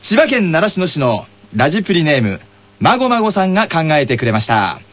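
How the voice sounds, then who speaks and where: A man hosting a radio show with lively banter and segment introductions. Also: on the high side, fairly quick, no room sound. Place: radio studio. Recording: loud music bed.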